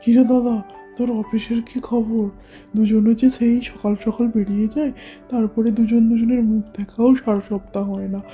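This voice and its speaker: An easygoing young man acted by a woman in a puppet show, chatty and familiar. The voice is very dark and mellow though fairly high, over faint music. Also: close to an everyday voice. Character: easygoing young husband and his brother, doll men.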